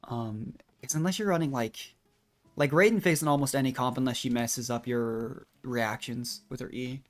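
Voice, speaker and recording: Male game streamer, medium pitch, casual chatty live commentary with a rambling, self-deprecating manner. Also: moderate pace.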